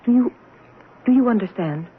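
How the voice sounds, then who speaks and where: Scheming, domineering doctor; radio drama, swooping high male voice, clinical and cutting. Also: expressive, moderate pace. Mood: stern, belittling. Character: domineering psychiatrist, coolly belittling, secretly scheming. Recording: tinny old radio broadcast, faint hiss.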